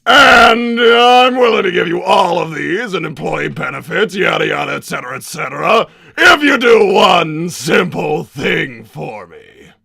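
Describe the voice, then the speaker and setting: A man voicing an egomaniacal, scheming cartoon villain scientist in a theatrical, booming, shouting manner. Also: expressive, measured pace, gravelly, few pauses, breathy edge, no room sound, heavily acted. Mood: scheming, gloating. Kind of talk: improvised character livestream Q&A.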